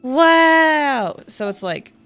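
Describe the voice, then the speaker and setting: A woman's voice: high-pitched, very animated, extremely slow, creaky, fairly bright tone. A woman giving casual live gameplay commentary, rambling and thinking aloud as she plays. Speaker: game streamer. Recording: game music behind the voice. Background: video game music.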